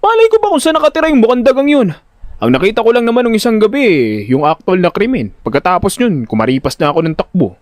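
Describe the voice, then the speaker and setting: Guy doing a whiny indignant big brother, highish voice, swoops all over, audio drama. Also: big pitch swings, fast, dry recording. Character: dramatic, frightened, exasperated older brother in debt.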